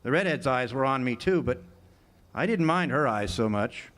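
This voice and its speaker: A man's voice: medium pitch, animated, moderate pace, a bit bright, lightly acted. Wry hard-boiled drifter played by a man. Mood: wry, musing. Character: wry, hard-boiled drifter narrating his jail tale. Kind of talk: noir radio drama narration. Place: live theater stage. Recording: noisy live amphitheater stage recording.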